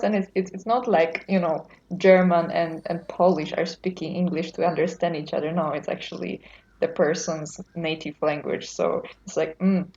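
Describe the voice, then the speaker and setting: A woman from Europe, a podcast guest, talking casually and thoughtfully, often pausing and hedging. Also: medium pitch, a little mellow. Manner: thoughtful, hesitant, with many fillers and restarts. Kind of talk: casual conversation. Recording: dull lo-fi sound.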